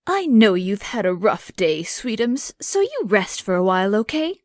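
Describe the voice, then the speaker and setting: A woman's voice: mid-range voice, big pitch swings, moderate pace, a little mellow, no echo, studio quality, natural voice. A woman playing a doting but callous alien empress in a parody audio drama, syrupy and menacing. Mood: cloying, doting.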